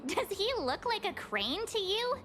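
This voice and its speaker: A woman's voice: very high voice, sing-song, measured pace, over music, heavily acted. A woman voicing a brash, suspicious young female game companion, blunt and sarcastic. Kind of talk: video game voice acting.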